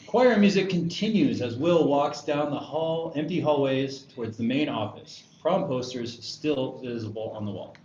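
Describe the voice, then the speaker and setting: A man's voice: medium pitch, normal speed, hardly any pauses, a little mellow. A man narrating stage directions at a screenplay table read. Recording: echoey room, muffled, faint noise.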